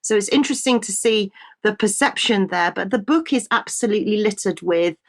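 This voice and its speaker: A woman with a British accent presenting a news show, her voice a little croaky, chatting with fillers and restarts. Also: mid-range voice, expressive, a little fast. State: slightly croaky voice. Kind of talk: casual news commentary.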